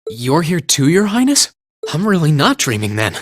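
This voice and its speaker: A man voicing a gentle, earnest teenage hero in an anime-style game, soft-spoken, polite and sincere. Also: a little high, huge pitch swings, normal speed, dry recording, clean studio sound.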